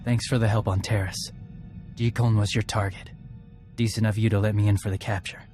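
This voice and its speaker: A man's voice: on the low side, level tone, fairly quick, natural voice. Man playing a terse, earnest young warrior. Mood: grateful. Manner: terse, respectful. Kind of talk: video game cutscene acting. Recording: music behind the voice.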